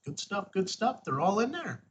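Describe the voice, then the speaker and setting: A man with a husky, rough-edged voice presenting a casual step-by-step tutorial, informal and thinking aloud as he goes. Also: sits high, sing-song, normal speed. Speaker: host, ham radio tutorial presenter.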